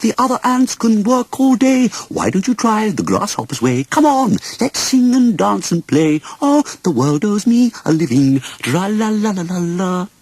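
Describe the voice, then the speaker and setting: A cocky, carefree grasshopper from a children's storybook. The voice is pitched very high and swings wildly up and down. It teases in a jaunty singsong, as if nothing could ever matter. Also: male voice, big pitch swings, normal speed, few pauses, darkish tone, no echo, exaggerated voice. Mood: gallant, merry. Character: carefree, cocky male grasshopper, singing idler.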